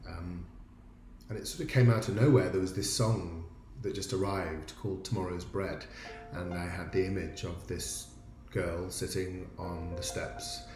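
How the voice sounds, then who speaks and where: A man with a low, fairly flat voice talks informally about his writing. He sounds like he is in a roomy space, with music playing behind him. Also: a little slow. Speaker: musical theatre writer. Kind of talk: informal explanation of own work.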